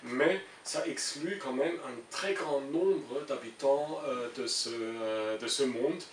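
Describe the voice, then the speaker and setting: A man's voice: mid-range voice, slow pace. A middle-aged man from Germany, a native German speaker with a German accent, answering interview questions as an international civil servant and often searching for his words. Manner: hesitant, searching for words with frequent repetitions. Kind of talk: interview answers, explaining an opinion. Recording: roomy, distant mic with faint hiss.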